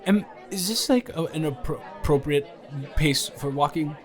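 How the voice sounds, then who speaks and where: A man's voice: fairly high pitch, moderate pace, faint music behind, a faint crowd, close to an everyday voice. A man playing a tipsy, stubborn male roommate at a party, rambling and repeating himself in an improvised audio roleplay. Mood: indignant, playful. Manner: rambling, tipsy, dragged-out and repetitive. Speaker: audio roleplay performer, channel creator.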